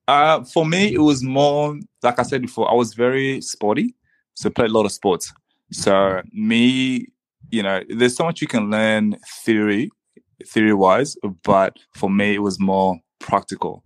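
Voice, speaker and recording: A man from the Congo who grew up in refugee camps and Australia, a native Swahili speaker, telling his story reflectively and haltingly as an interview guest. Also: lowish voice, no echo. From the Congo, raised in Zimbabwean refugee camps and Australia. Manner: halting, with frequent repeats and "you know".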